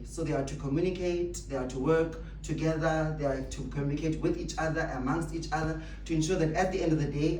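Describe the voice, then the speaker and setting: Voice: medium pitch, mellow. Echoey, emphatic motivational speaker. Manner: emphatic, rhetorical questions and repetition. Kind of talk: motivational speech to staff. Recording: echoey room, distant mic, faint noise.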